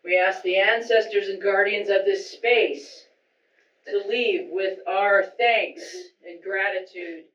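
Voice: medium pitch, steady tone, takes it slowly, fairly bright tone. A person leading a group ritual as seance leader, recorded dull and distant in a roomy space. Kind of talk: guided ritual, incantation.

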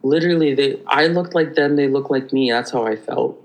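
A man from Hawaii, a podcast guest telling stories in an animated, rambling way with many restarts. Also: mid-range voice, a little fast, poor audio. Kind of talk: conversational storytelling.

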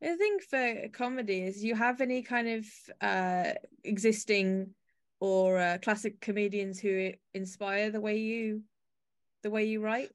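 A woman's voice: mid-range voice, fairly even intonation, measured pace. A woman with an English accent, speaking as the host of an arts podcast in introductions and interview questions. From Devon, UK.

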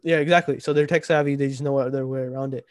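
A man's voice: medium pitch, steady tone, quick pace, darkish tone, dry recording, studio quality. A twenty-year-old man hosting a casual podcast chat, rambling with lots of fillers and frequent "right?" check-ins. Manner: rambling, filler-heavy, keeps asking "right?". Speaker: podcast host.

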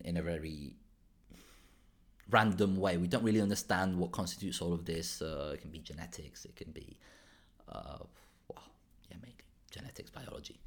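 A man from southern Spain hosts a solo podcast, thinking aloud in a halting, unscripted way. His voice sits deep and low. He keeps restarting phrases and tacking "right?" onto his points. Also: husky. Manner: halting, many restarts and tag questions like "right?". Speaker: podcast host. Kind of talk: casual solo podcast monologue.

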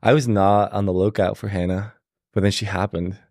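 A young man from Switzerland, a podcast guest, telling his story in a relaxed, halting way with many fillers. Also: fairly low pitch, creaky, no room sound, studio quality. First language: Swiss German. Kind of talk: casual interview storytelling.

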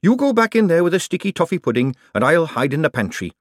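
A man voicing a weary, firm young kitchen girl in an audiobook, brisk and matter-of-fact. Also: a little high, big pitch swings, no room sound, studio quality, voice pushed up. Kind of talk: audiobook character dialogue.

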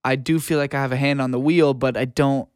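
A man's voice: medium pitch, fairly even intonation, a little fast, a little mellow, smooth, no echo, clean studio sound. A young North American man, an interview guest, talking in a casual, reflective, informal way. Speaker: interview guest, music producer and audio engineer. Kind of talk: casual chat.

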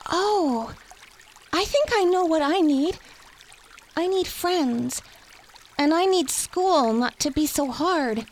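A woman's voice: very high voice, expressive, slow pace, long pauses, a little hiss or hum, exaggerated voice. A woman playing an earnest, slightly anxious young girl in an audio story. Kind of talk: audio theater acting.

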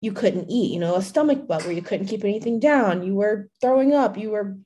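Hoarse young woman, chatty podcast host. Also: medium pitch, brisk, warm tone, lo-fi sound, slight background noise. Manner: chatty, many fillers, laughs often. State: slightly hoarse from a recent illness. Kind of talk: casual solo podcast talk.

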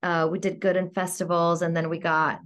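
A woman from Greece, an actor, chatting in a casual podcast interview, upbeat and quick to laugh. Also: fairly low pitch, little pitch movement, a bit bright. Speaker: guest actor.

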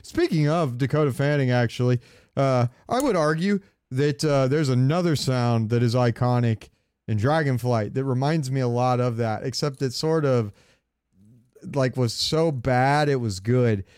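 Man, podcast co-host, casual gaming chat, clear smooth voice, dry recording, rambling delivery. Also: medium pitch, expressive, normal speed, darkish tone, dry recording. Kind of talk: casual chat about video games.

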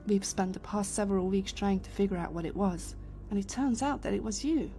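Lucinda, a woman playing a mellow, commanding leader. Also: mid-range voice, animated, faint music behind, natural voice. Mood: composed. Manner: measured, authoritative, explanatory. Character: Lucinda, composed female leader of secret time travelers. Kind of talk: science fiction audio drama acting.

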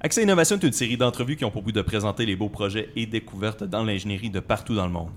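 Young man, quick joking podcast host, faint rumble behind. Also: expressive. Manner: fast, playful, joking. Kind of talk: casual interview chat.

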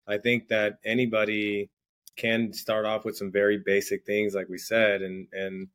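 A man's voice: lowish voice, completely flat delivery, a little slow, a bit bright. A middle-aged man, a guest on an interview podcast, talking earnestly in casual chat and often repeating words as he starts his sentences.

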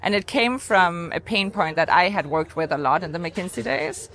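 A woman with a Danish accent and a somewhat low voice talks as a podcast guest. She tells her story conversationally and reflectively, rephrasing as she goes. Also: lively intonation, normal speed, fairly bright tone, faint music behind. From Denmark.

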